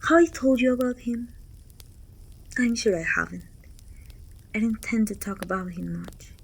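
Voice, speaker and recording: A woman playing a proud, commanding evil queen in an intimate ASMR roleplay, speaking softly and often near a whisper in a measured, regal way. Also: a little high, gravelly, a little hiss or hum, rough recording. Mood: wistful, confiding. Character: haughty evil queen, proud sorceress ruler, confiding and commanding. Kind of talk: ASMR roleplay acting, intimate monologue to a servant.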